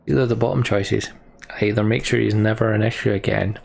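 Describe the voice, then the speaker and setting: A man's voice: fairly low pitch, fairly even intonation, brisk, gravelly, darkish tone. A man hosting a let's play, reading game text aloud and commenting on his choices.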